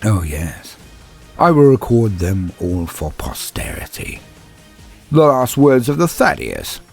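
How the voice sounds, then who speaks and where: A man voicing an arrogant, possessed assassin in an audio story, husky, sneering and deliberate in his menace. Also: lowish voice, wildly swooping pitch, faint music behind, heavily acted. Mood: gloating.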